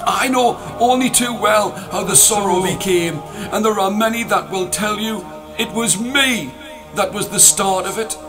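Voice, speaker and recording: A man's voice: high-pitched, moderate pace, music in the background, voice pushed up, lightly acted. A man voicing a proud, sorrowful male planet in a storybook tale, indignant, scornful and tearful. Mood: bitter, ashamed. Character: proud, angry, sorrowful male messenger planet, swift and guilty. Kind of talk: storybook character voice.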